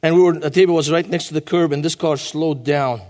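Guest preacher, man in his 40s, high voice, long church reverb. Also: steady tone, fairly quick, a little mellow. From Lebanon. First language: Arabic. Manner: emphatic, repeats key phrases. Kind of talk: sermon.

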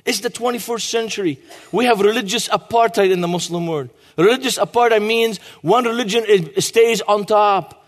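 Guest preacher, man in his 40s, high voice, long church reverb. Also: moderate pace. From Lebanon. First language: Arabic. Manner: emphatic, repeats key phrases. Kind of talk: sermon.

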